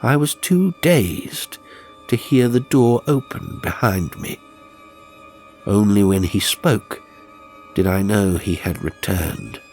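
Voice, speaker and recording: A man narrating a horror story as an audiobook reader, in a deliberate, suspenseful and theatrical way. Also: fairly low pitch, animated, a little mellow. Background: faint music.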